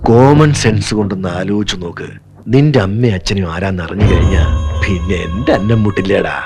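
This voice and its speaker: An actor dubbing a gruff, greedy male convict who talks in a bossy, sarcastic way. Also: sits low, a little fast, over music.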